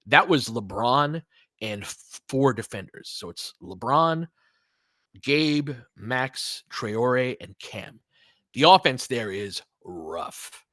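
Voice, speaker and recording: A man's voice: medium pitch, animated, slow, a bit bright, no room sound. Casual male basketball commentator, close dry sound. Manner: conversational, punchy short calls, slangy. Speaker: basketball play-by-play commentator and analyst. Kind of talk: casual live play-by-play commentary and analysis.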